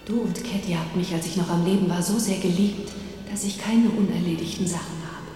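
A woman as a gentle ghostly mother in echoing reverb. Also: on the low side, steady tone, a little fast, music in the background, natural voice. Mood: tender, reassuring. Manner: tender, reassuring, solemn. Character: gentle angelic ghost of a loving dead mother. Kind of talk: film audio drama acting. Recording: echoey hall, distant, faint noise.

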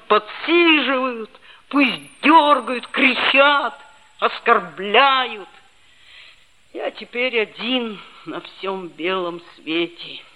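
Schoolboy narrator: high-pitched, unhurried, earnest and self-pitying, vintage radio drama. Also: male voice, heavily acted. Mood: dejected, self-pitying. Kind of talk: 1960s Soviet radio play, first-person narration. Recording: dull old radio-play tape with loud music.

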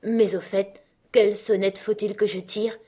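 A woman voicing an inquisitive, easily impatient young girl in a storybook, with proper, expressive phrasing. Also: mid-range voice, unhurried, husky. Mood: intrigued.

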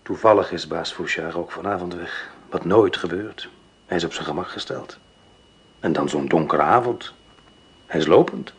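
An actor playing a recovering sick man in a radio play, speaking quietly and measuredly with a dry, pointed edge. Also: low-pitched, normal speed, husky, exaggerated voice. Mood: quiet, wary. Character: convalescent man, quiet, watchful and suspicious.

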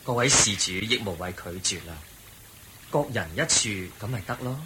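An actor playing the Buddha as a kindly, patient monk in a Cantonese radio drama, with a Hong Kong accent. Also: male voice, fairly low pitch, expressive, heavy background noise, lightly acted. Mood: kindly, guiding. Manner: measured, patient explaining. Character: the Buddha, calm kindly male wandering monk and teacher.

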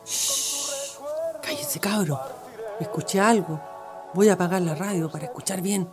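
A woman's voice: medium pitch, measured pace, over music, close to an everyday voice. A woman acting a feisty, wary young student activist in a radio drama, with a colloquial Chilean accent. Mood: alarmed. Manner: colloquial, animated.

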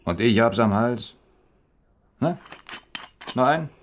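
An actor in a German radio play voicing a wry police inspector who probes casually with short, needling questions in colloquial speech. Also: male voice, mid-range voice, animated, slow pace, slight background noise. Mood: casual probing.

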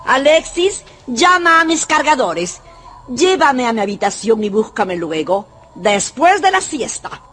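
An actress playing a sharp-tongued, rich old Russian grandmother in a radio drama, haughty, mocking and commanding. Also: sits high, big pitch swings, unhurried, soft background music, exaggerated voice. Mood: scornful, commanding.

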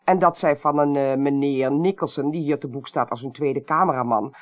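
A woman's voice: rumbling low, normal speed. Composed, sceptical Dutch female investigator. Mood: doubting, analytical. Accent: Netherlands Dutch. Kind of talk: Dutch radio drama acting.

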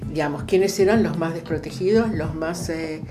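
An older Argentine woman speaks in an interview over clearly audible music. Her voice is fairly low, and she recounts things reflectively, often pausing to search for the right word. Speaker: interviewee, social worker recalling past projects. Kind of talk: conversational oral-history interview.

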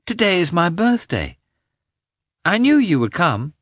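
A man voicing a small, affectionate, excitable boy in an audiobook, with eager, childlike exclamations. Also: high-pitched, a little slow, dry recording, studio quality, voice pushed up, heavily acted. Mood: eager, sleepy. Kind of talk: audiobook character voice.

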